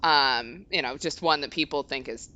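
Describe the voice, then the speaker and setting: A woman's voice: sits low, moderate pace, sharp tone, lo-fi sound. A woman whose first language is Japanese, chatting on a game stream with dry, wry humor. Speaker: co-host streamer. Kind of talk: casual game-stream chat.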